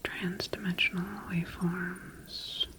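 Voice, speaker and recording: Slow whispering woman playing a clinical scientist. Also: fairly low pitch, little pitch movement, bright, forceful tone. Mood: clinical, absorbed. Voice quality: breathy whisper. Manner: slow, soothing, methodical. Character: calm, clinical female sci-fi scientist examining her creation. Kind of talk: ASMR roleplay.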